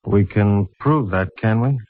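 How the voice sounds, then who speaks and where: An actor playing a stern, no-nonsense police lieutenant in a 1950s radio drama. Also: male voice, lowish voice, unhurried, lightly acted. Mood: stern, pressing. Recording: tinny, roomless vintage radio recording.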